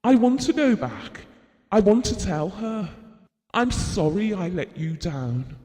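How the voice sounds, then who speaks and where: A high-voiced, guilt-ridden husband. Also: male voice, sing-song, takes it slowly, mellow, heavily acted. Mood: remorseful. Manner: regretful, confessional, strained. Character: guilt-ridden, exasperated husband of a troubled wife. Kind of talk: audio drama monologue and dialogue. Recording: reverberant hall-like room sound.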